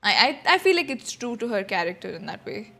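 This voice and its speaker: A woman's voice: medium pitch, very animated, quick pace, creaky, a bit bright, faint music behind. A young adult woman streaming a game, giving casual, rambling live reaction commentary. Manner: rambling, conversational.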